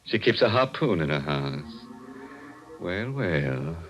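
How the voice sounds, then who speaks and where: Deep, ghoulish, slow-talking horror host. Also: male voice, very animated, exaggerated voice. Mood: sinister playfulness. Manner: sardonic, chuckling, drawn-out sinister delivery. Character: ghoulish, gleeful male horror host cracking macabre puns. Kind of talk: 1940s radio acting. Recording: vintage radio broadcast with music.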